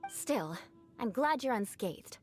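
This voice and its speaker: A woman's voice: on the high side, expressive, takes it slowly, faint music behind. A woman voicing a haughty young noblewoman knight in a video game, formal and aloof, vengeful yet secretly caring. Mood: cool, composed.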